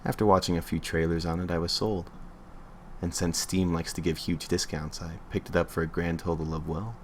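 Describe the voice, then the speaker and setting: A man's voice: low-pitched, a little hiss or hum. A man reading a creepy internet story aloud on a livestream in an offhand way, stumbling and correcting himself as he goes.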